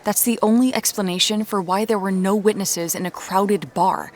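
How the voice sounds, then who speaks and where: A woman's voice: medium pitch, fairly even intonation, moderate pace, lightly acted. A woman playing a determined, dryly frank amateur detective in a naturalistic audio drama. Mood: thoughtful, probing. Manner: dry, frank, stubborn. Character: determined amateur woman detective hunting her sister's killer.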